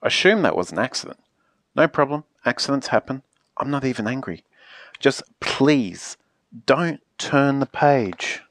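A woman voicing an exasperated, pleading character in a children's picture book, theatrical and emphatic with drawn-out pleas. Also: fairly low pitch, very animated, no room sound, studio quality. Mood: feigned calm.

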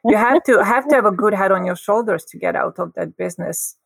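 Woman guest, vivid storyteller, gravelly texture, dry close sound. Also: medium pitch, fairly quick, dry recording. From Hungary. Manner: expressive, lively storyteller. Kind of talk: casual storytelling.

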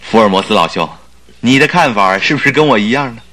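An actor playing a stubborn, skeptical doctor who is a detective's companion, arguing theatrically and insistently in a Chinese radio drama. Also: male voice, mid-range voice, animated, fast talker, sharp tone. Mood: dismissive, questioning.

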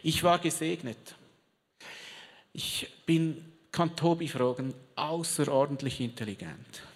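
A preacher delivers a sermon to a live crowd, telling the story theatrically and breaking off into chatty, joking asides. The delivery is unhurried and drawn out. The voice rings through a large hall with a long reverb tail. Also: mid-range voice. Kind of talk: lively sermon with audience participation.

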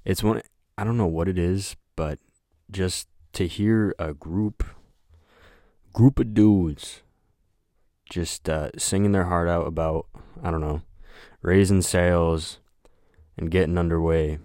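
A young man hosting a solo podcast, talking in a flat, monotone voice that rambles, with lots of hesitation and filler words. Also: low voice, slow pace, no echo, clean studio sound. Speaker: podcast host. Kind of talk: casual solo podcast chat.

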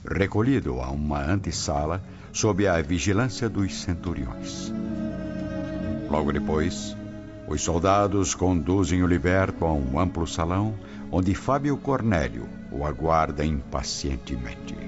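Deep voiced guy narrating a radio drama, solemn, muffled sound with music under. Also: expressive, unhurried. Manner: solemn, formal storytelling.

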